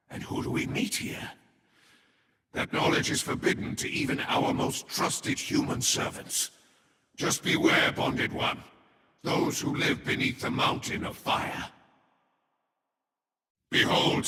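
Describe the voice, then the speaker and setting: A man voicing a haughty, ominous serpent-folk overlord in a fantasy game, speaking in solemn, formal, imperious pronouncements with a breathy, whispery tone. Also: sharp tone. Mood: grave, mysterious, then proud, commanding. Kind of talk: scripted fantasy game lore narration. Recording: echoey hall reverb with ambient noise.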